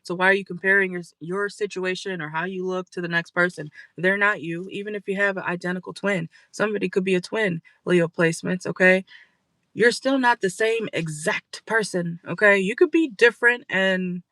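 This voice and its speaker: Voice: medium pitch, normal speed, bright, forceful tone, no room sound. A tarot reader giving a casual reading for viewers from a car, blunt and profane, tagging lines with "okay?", and losing their voice.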